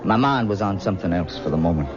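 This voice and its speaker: An actor playing a brooding young lawyer who speaks in a dry, quietly bitter way in a radio drama. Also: male voice, low voice, lively intonation, fast talker. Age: young adult. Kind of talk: 1970s radio drama acting. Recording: dull 1970s radio recording with music.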